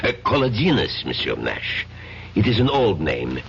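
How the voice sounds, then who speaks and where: Suave interrogator, low gravelly voice, swooping intonation, formal, muffled old radio drama recording. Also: male voice, huge pitch swings, a bit bright, a little hiss or hum, full character voice. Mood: suave, probing. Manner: formal, courteous, deliberate. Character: suave, foreign gentleman interrogator, slyly courteous. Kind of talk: 1970s radio drama acting.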